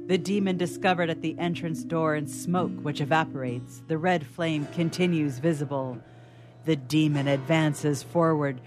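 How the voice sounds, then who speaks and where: Formal radio-play narrator over music. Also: medium pitch. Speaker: narrator reading stage directions. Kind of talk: scripted dramatic narration for radio theatre.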